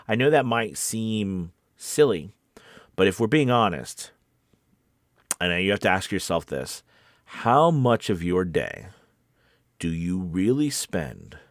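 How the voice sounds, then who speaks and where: A man's voice: lowish voice, dry recording, studio quality. A man chatting casually and encouragingly as the host of a voice acting livestream, in an earnest, conversational, rambling way. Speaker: voice actor hosting a coffee-time livestream.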